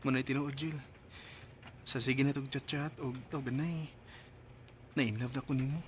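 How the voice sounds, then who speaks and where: A man's voice: mid-range voice, level tone, normal speed, lightly acted. An actor with a Filipino accent playing an eager, boyish young rural man in love in a radio drama. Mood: sweet, courting. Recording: clearly noisy radio drama recording.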